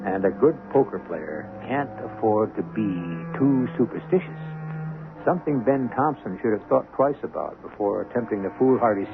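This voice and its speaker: Gravelly, wry radio mystery host, man. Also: fairly low pitch. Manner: wry, theatrical, deliberate. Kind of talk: 1970s radio mystery narration, scripted. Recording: tinny vintage radio broadcast. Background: music.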